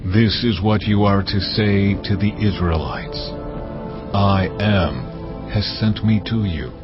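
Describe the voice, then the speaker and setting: An actor playing God as a solemn, commanding divine voice, proclaiming slowly and with weight. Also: male voice, lowish voice. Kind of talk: dramatized scripture reading. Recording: muffled, over loud cinematic music.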